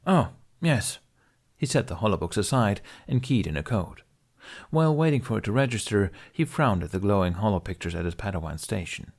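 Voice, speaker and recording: A man with a fairly low voice narrates a piece of fiction. He reads in the manner of an audiobook, delivering scripted prose. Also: lively intonation, fairly quick, darkish tone. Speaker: audiobook reader.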